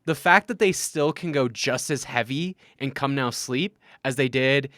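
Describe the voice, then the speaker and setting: A man's voice: medium pitch, unhurried, pushed and bright, no room sound, studio quality. A man co-hosting a podcast, chatting with eager, emphatic enthusiasm. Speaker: podcast co-host. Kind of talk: casual podcast chat.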